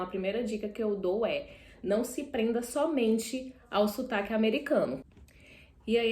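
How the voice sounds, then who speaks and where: A woman's voice: mid-range voice, roomy sound. A young Brazilian woman with a Brazilian Portuguese accent, chatting casually to camera while seated indoors and often correcting herself mid-sentence. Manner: chatty, self-correcting, animated asides. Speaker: vlogger.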